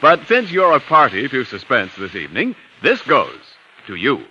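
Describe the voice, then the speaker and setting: A muffled, faintly hissy old radio recording of an American male announcer. He is polished and salesman-like, with bright projection and swooping intonation in 1940s commercial style. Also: medium pitch, wildly swooping pitch, moderate pace, breathy edge. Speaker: radio announcer and sponsor pitchman.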